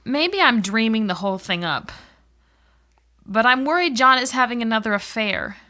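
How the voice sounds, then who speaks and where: A woman's voice: fairly high pitch, animated, moderate pace, pushed and bright. A woman playing a worried, downcast wife in soap-opera dialogue for English learners, enunciating carefully. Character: worried, downcast wife fearing her husband's affair.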